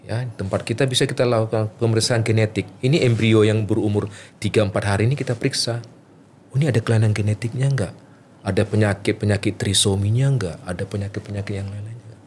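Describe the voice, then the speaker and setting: A man with a fairly low voice explains things as a medical specialist in a conversational interview. He is informal, repeats points for emphasis and poses his own questions. Some background ambience sits behind his voice. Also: steady tone, fast talker, a little mellow, slight background noise. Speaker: doctor, fertility specialist guest.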